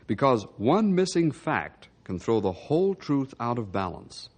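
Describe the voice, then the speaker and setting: Earnest Western sheriff-narrator, very slow and dramatic, animated pitch, moralizing old radio style. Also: male voice. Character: earnest sheriff who narrates to young listeners.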